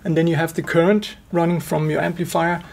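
Husky, creaky higher-pitched man explaining. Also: level tone, a little fast, darkish tone. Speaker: expert guest, loudspeaker driver engineer. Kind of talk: technical explanation in an interview.

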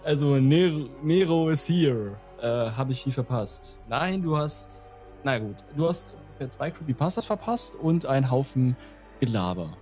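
A man's voice: mid-range voice, animated, normal speed, darkish tone, faint music behind. A man hosting a live stream in casual, chatty talk.

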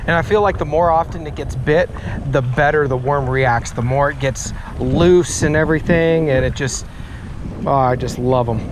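A man's voice: on the high side, normal speed. A young man talking casually while fishing at a pond, upbeat and chatty, recorded outdoors on an action camera. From Texas. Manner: upbeat, chatty, laughs often. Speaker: fishing vlogger. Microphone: action camera on body or tripod. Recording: loud wind noise on camera mic. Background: wind.

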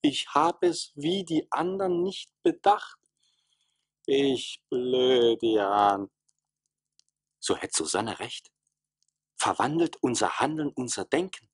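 A man's voice: mid-range voice, very animated, takes it slowly, creaky, long pauses, breathy edge, no room sound, heavily acted. An actor playing a troubled, self-doubting townsman, brooding and theatrical in a radio play. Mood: brooding, self-reproachful. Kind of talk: stage-like radio play acting.

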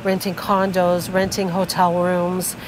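A woman's voice: fairly low pitch, monotone, unhurried. A middle-aged woman co-hosting a vlog, chatting casually and agreeably at an outdoor marina. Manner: agreeable, chimes in with affirmations. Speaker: vlog co-host. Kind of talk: casual chat. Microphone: fixed camera at a few meters. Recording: outdoor noise behind.

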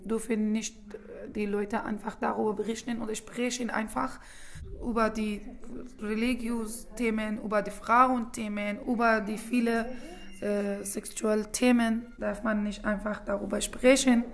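A woman from Afghanistan, a journalist, speaking halting German in a documentary interview, pausing often with hesitations. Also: mid-range voice, flat delivery, creaky. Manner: halting non-native German with frequent hesitations.

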